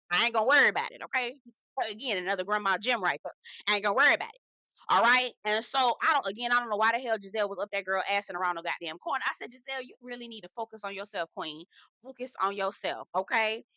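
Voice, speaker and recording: A woman's voice: mid-range voice, sharp tone, dry recording. Sassy slang-heavy woman recapping gossip. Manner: sassy, blunt, swearing, heavy slang, lots of "okay?" and "girl". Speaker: reality TV recap host. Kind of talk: casual commentary recap.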